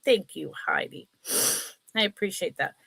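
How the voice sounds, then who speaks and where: A middle-aged woman hosts a casual livestream sale in a bright, forward voice with a sniffly, congested nose. She talks at an unhurried pace and rambles into chatty asides. The sound is close and dry. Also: medium pitch, expressive, vocal fry, dry recording.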